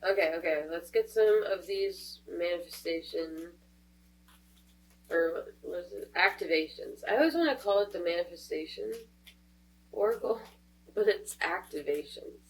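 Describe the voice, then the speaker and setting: A woman's voice: lowish voice, normal speed. A woman doing a casual tarot reading, stuffed up from a cold, chatty and thinking aloud as she goes. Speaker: tarot reader. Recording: voice a bit distant from the mic, faint hum.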